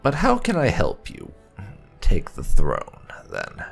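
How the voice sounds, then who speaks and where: A very deep-voiced man hosts a roleplay let's play. His delivery is slow and thoughtful, with hugely varied intonation and a creaky, gravelly edge. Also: huge pitch swings, faint music behind. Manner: thoughtful, deliberate, reading character dialogue aloud. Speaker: gaming channel host.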